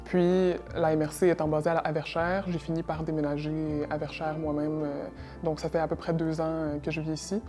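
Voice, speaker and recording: Low-voiced young woman, hesitant answers. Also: level tone, brisk, music in the background. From Russia. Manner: hesitant, many fillers and repeated words. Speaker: interview guest, environmental technician. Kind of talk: casual interview answers.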